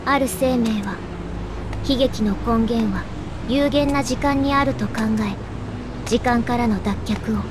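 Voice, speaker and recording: A woman's voice: fairly high pitch, slow pace, noisy background. A woman voicing a sorrowful, eerily serene young female herald of doom in a game, with solemn, lyrical delivery and music underneath. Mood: solemn, mournful. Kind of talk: scripted dramatic game monologue.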